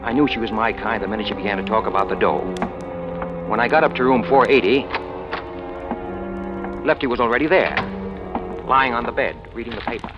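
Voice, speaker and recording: An actor playing a cool, wry small-time crook in clipped, dry, hard-boiled old radio noir style. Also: male voice, mid-range voice, a little fast, long pauses, natural voice. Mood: brisk narrating. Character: cool, wry small-time crook and informer, narrating his own story. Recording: muffled old radio recording, music behind.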